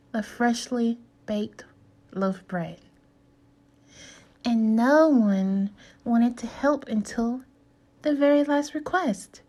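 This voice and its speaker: A woman with a fairly high voice reads a children's story at a slow pace. Her delivery is deliberate and instructive, like a teacher guiding young listeners through a lesson and putting questions to them. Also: darkish tone. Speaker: teacher reading aloud to children. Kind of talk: slow storybook read-aloud and lesson for kids.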